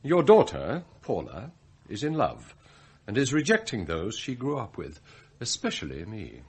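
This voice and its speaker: An English butler, proud and bitter, in a radio play. His voice is husky and swings very widely in pitch. He speaks in ornate, formal sentences like a passionate idealist with a scheme. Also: huge pitch swings, unhurried, a little mellow, exaggerated voice. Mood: stiff, sardonic. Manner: formal, ornate, theatrical phrasing. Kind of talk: radio drama acting, monologue and dialogue.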